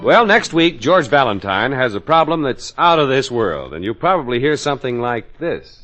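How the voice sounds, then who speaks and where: Animated American radio announcer, male. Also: mid-range voice, normal speed. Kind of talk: 1940s radio announcing, scripted sponsor message. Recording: dull old radio recording with music.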